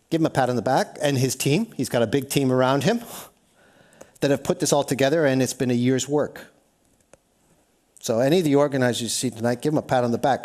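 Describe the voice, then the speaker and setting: A Canadian man giving an energetic, interactive seminar talk, often putting questions to the audience. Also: mid-range voice. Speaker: workshop speaker. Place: lecture hall.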